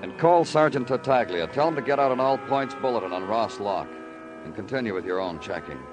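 An actor playing a brooding, sardonic police detective in an old-time radio crime drama, terse in questioning and somber in narration. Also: male voice, on the low side, expressive, brisk, lo-fi sound, over loud music, lightly acted. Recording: vintage radio recording with musical underscore.

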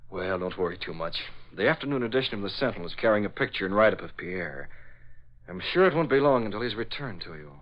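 An actor playing a calm, decisive newspaperman with a secret crimefighting life, in a measured, authoritative 1940s radio style. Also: on the low side. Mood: reassuring. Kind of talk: 1940s radio drama acting. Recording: dull old radio recording.

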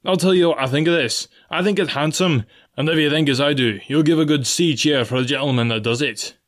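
A narrator acts out a male ship's captain from an adventure storybook. He projects loudly at a raised pitch, formal and firm, as a man used to giving orders. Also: brisk, close to an everyday voice. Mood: hearty, commanding. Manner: formal, authoritative.

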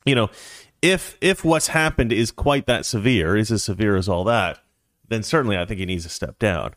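Rambling, joking low-voiced male host. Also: normal speed, a bit bright, no room sound, clean studio sound. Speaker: show host. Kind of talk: casual political commentary.

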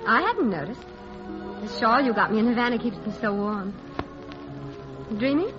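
An actress playing a witty nightclub singer in love in a vintage radio romance, wry, tender and composed. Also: female voice, a little high, very animated, moderate pace. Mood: teasing, tender. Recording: dull old radio recording, music behind.

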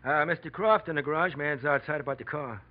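An actor playing a folksy, helpful small-town hotel attendant in an old radio drama. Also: male voice, on the high side, a little fast, natural voice. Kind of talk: old-time American radio drama acting. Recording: dull, slightly noisy vintage radio recording.

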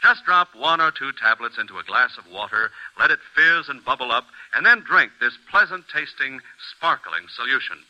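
Very bright, animated male radio pitchman. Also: moderate pace, hardly any pauses. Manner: persuasive, polished, direct address. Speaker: commercial announcer. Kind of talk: scripted classic radio advertising pitch. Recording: slightly dull vintage radio recording.

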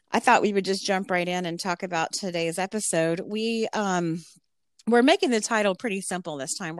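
Woman hosting a true crime pod, kinda scratchy, steady and friendly, dry mic no room. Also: mid-range voice, no echo. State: voice getting slightly scratchy. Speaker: podcast co-host.

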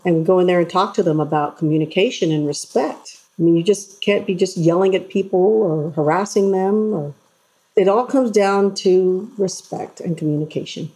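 Woman interview guest, earnest storyteller, husky and warm in tone. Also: on the low side, rough recording. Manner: earnest, animated storytelling with acted-out voices. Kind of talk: casual interview chat.

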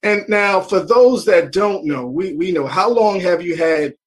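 Male interview host, high voice, brief affirmations and direct questions. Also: lively intonation, a little slow, breathy edge.

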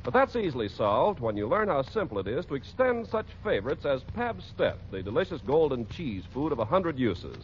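A man's voice: medium pitch, big pitch swings, brisk, hardly any pauses, a little hiss or hum. Swooping, emphatic vintage male radio announcer. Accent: American. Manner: polished, emphatic salesmanship. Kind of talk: 1940s radio announcing and scripted narration. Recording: muffled vintage 1940s radio broadcast. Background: music.

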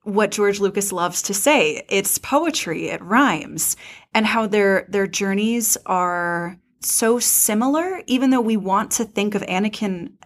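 A woman with a mid-range voice co-hosts a fan podcast. She lays out her thoughts in an articulate, deliberate way, casual but analytical. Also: mid-range voice. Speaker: podcast co-host.

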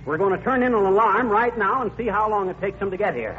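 An actor playing a pompous, blustering small-town fire commissioner who speechifies in mangled clichés, in 1940s radio comedy style. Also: male voice, sits high, fast talker, over a noisy crowd, natural voice. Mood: officious, sarcastic. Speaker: comedy lead addressing a meeting. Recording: muffled vintage radio, faint noise.

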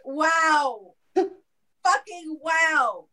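A woman's voice: high-pitched, animated, extremely slow, pushed and bright. A woman in casual podcast banter, blunt, sarcastic and sweary.

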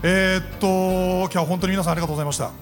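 A man's voice: sits high, fairly even intonation, racing. A man giving a polite thank-you speech on a live stage, often searching for his words. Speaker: cast member of a radio show. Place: public event stage in a shopping complex. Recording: live event PA with music behind.

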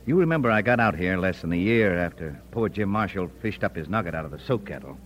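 An actor playing a wry, defiant American frontier editor with a folksy manner in an old radio Western. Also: male voice, low-pitched, expressive, quick pace, soft background music. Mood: folksy recounting. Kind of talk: old-time radio Western drama acting.

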